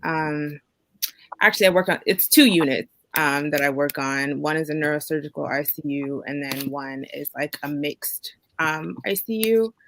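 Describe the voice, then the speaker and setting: A young woman from Washington DC, born in Jamaica, speaking casually and haltingly as a nurse on a panel. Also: low voice, fairly bright tone, slight background noise. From born in Jamaica, raised in Washington DC. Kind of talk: casual panel introduction on a video call.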